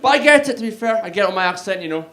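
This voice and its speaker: Young male stand-up, thick Glasgow accent, high-pitched, loose self-deprecating patter. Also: lively intonation, very fast, pushed and bright, slight background noise. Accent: Glaswegian Scottish. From Scotland. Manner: chatty, self-deprecating storytelling with frequent "like" and "you know". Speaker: stand-up comedian. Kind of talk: stand-up set. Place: comedy club stage with audience laughing.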